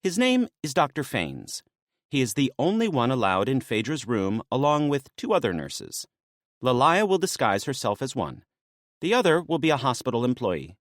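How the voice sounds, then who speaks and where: A man voicing a sharp-tongued, bossy female maenad with a dry, mocking manner. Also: mid-range voice, huge pitch swings, moderate pace, dry recording, pitched above the performer's own voice. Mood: sarcastic.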